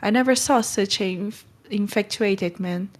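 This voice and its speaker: A woman's voice: medium pitch, normal speed, lightly acted. A woman playing a stern but kind middle-aged guardian in a storybook read-along, brusque and scolding. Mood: exasperated. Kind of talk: acted audiobook read-along.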